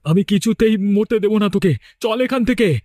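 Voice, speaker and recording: A man's voice: high-pitched, moderate pace, dark tone, lightly acted. Man acting an insistent cartoon village father. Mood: desperate, protective. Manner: insistent, dramatic, questioning. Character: protective village father, firm and suspicious of his child.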